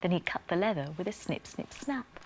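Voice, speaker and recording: A woman presenting a scripted children's bedtime story in an expressive, sing-song rhyming delivery. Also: lowish voice, fairly quick.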